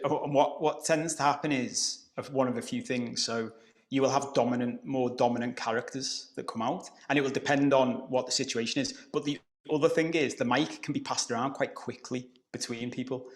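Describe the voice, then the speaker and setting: A middle-aged man from Liverpool with a softened Scouse accent, explaining ideas as a coach on a podcast. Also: medium pitch, steady tone, brisk. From Liverpool, England. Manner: explanatory, conversational, occasional swearing. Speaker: guest coach. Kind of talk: podcast conversation.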